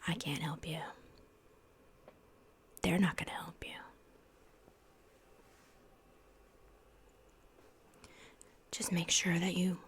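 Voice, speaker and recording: A woman playing a paranoid, unhinged asylum patient in a horror ASMR roleplay, secretive and halting, obsessively repeating her words. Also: fairly low pitch, steady tone, breathy edge, heavily acted. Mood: warning.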